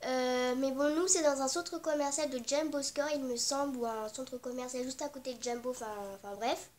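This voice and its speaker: High-voiced girl from with a cold. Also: steady tone, normal speed, a little mellow. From Réunion. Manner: chatty and rambling, apologetic, many fillers and restarts. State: has a cold, stuffy. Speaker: beauty vlogger. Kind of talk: casual beauty vlog chat.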